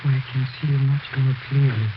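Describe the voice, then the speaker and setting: An actor playing an earnest, lovesick man longing for his dead beloved in a 1940s radio drama, halting and uneasy. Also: mid-range voice, steady tone, lightly acted. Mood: dreamy, uneasy. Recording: noisy, dull 1940s radio recording, rain-like hiss.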